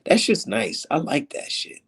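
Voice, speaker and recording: A man's voice: a little high, big pitch swings, measured pace, a bit bright, breathy edge. An American man in a casual, profane, slangy chat, talking like a sports show host. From United States, Minnesota and Texas. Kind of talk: casual street-talk chat about sports.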